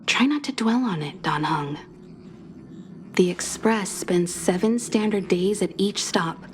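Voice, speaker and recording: A woman playing a composed, caring mentor in a video game, speaking calmly and reflectively. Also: mid-range voice, measured pace, a little hiss or hum, lightly acted. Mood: reassuring, reflective. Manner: calm, reassuring, reflective. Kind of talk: dramatic video game voice acting.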